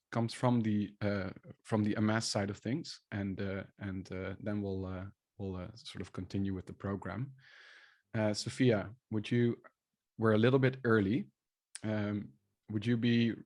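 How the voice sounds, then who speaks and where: A man hosts an online seminar over a video call, speaking in a somewhat low voice. He introduces the program in a halting way, with frequent pauses and restarts. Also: darkish tone, no echo, clean studio sound. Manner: halting, many fillers and restarts. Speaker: seminar moderator. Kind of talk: hesitant live moderation.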